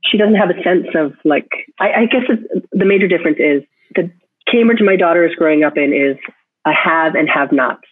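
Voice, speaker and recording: A woman's voice: fairly low pitch, brisk, a little hiss or hum. A woman photographer answering interview questions thoughtfully, often restarting her sentences as she thinks aloud. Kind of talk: reflective interview talk. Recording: tinny phone line, dry.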